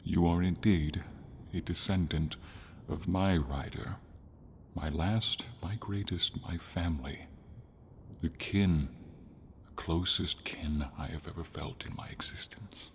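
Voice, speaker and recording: A man plays a devoted silver dragon in an ASMR fantasy tale. The voice sits extremely low and often sinks into a breathy whisper. The delivery is tender and encouraging, with weighty dramatic pauses. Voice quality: deep, breathy. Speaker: ASMR roleplay voice actor.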